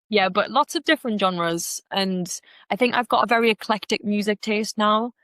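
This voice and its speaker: Young woman, Geordie accent, chatty, restarts a lot, mid pitch, dry close recording. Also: moderate pace, a bit bright, no room sound. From Gateshead, North East England. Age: young adult. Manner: chatty, full of fillers and restarts. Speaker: podcast guest. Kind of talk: casual interview chat.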